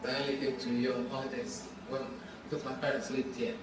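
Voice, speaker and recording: A man's voice: medium pitch, flat delivery, quick pace, background noise. A man reminiscing casually in a documentary interview. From Nigeria. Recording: very echoey, distant, music behind.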